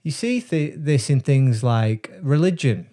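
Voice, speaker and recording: Male brand consultant, mid-range voice with a soft dark timbre, relaxed and thoughtful. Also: medium pitch, measured pace. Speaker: brand strategist. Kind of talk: casual explanatory talk to camera.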